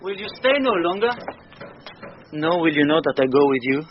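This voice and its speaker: A man's voice: fairly high pitch, sing-song, moderate pace. An actor playing a devoted, ardent seafaring man in a classical Shakespearean radio play, with emotional, pleading loyalty. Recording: muffled radio recording with noise.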